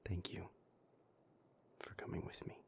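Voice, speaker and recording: A man voicing a weary, bitter young vampire in an audio roleplay, talking in a low, hushed voice up close to the mic. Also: unhurried, whispered, lightly acted. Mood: tender. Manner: tired, resentful muttering that softens to tender.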